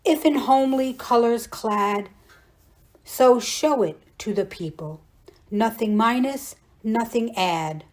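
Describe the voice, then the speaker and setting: A woman reciting poetry in a deliberate, declamatory way. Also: fairly high pitch, a little mellow. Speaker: poetry reader.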